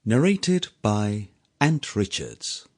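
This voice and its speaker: A man reading a literary sea story as an audiobook narrator, with a measured, theatrical storytelling delivery. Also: lowish voice, very animated, darkish tone.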